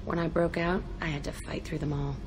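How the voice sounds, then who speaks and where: An actress playing an embittered, tough young ex-prisoner in a video game, blunt, terse and profane. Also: female voice, deep voice, flat delivery, brisk, natural voice. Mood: bitter, guarded. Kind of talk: video game dialogue acting.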